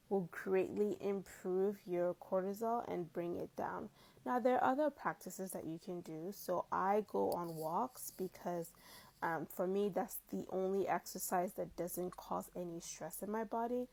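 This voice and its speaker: Gravelly-voiced woman chatting through earphone mic. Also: mid-range voice, rough recording. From Cameroon. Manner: relaxed and conversational, with frequent likes and asides. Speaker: physician. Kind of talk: casual advice video answering a viewer question. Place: small indoor room. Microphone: wired earphone mic.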